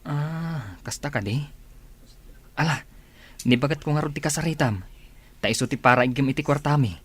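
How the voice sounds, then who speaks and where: A man's voice: fairly high pitch, moderate pace, fairly bright tone, breathy edge. An actor with a Filipino accent playing an earnest, hardworking married man in a radio drama. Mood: wary, surprised.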